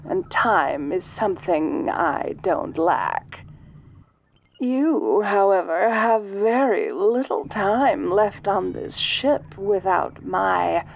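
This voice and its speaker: Woman playing a slow-talking, domineering ancient alien goddess. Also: medium pitch, vocal fry, muffled recording, a little hiss or hum. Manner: slow, imperious, formal, silky. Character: ancient domineering female alien, godlike, sinister and seductive. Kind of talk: soft-voiced audio roleplay, addressing the listener.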